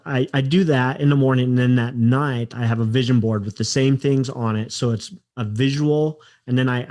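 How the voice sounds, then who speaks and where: A man hosting a podcast interview over a video call, speaking haltingly and repeating words as he thinks. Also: medium pitch, darkish tone. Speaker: podcast host. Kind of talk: casual interview chat.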